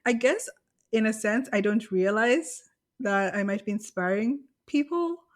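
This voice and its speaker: A woman's voice: on the high side, lively intonation, a little slow. A woman from Kenya, co-hosting a podcast in casual conversation, candid and self-deprecating about herself. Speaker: podcast co-host.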